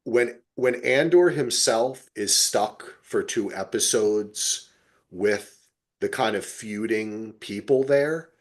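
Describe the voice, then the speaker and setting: A man's voice: on the low side, slow. A man co-hosting a podcast, chatting casually and emphatically as an enthusiastic fan, with plenty of swearing. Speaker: podcast co-host. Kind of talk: casual fan chat about TV.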